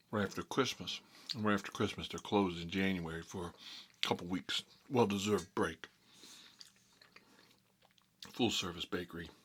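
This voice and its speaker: A man acts out an Italian-American mob boss with a low, forceful voice. He is boastful and chatty, rambling in halting, muttered phrases between mouthfuls in an ASMR role-play. There is some light noise on the recording. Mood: chatty, explaining. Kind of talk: improvised ASMR character role-play, eating while talking.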